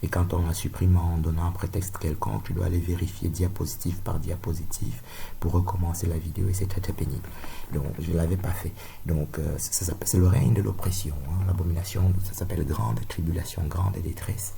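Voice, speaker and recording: Middle-aged man, very dark and mellow tone, digressive and insistent lecture. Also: low-pitched, steady tone, a little fast, gravelly, slight background noise. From Cameroon. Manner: digressive, peppered with tag questions, insistent. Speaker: video lecturer. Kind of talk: rambling spoken monologue.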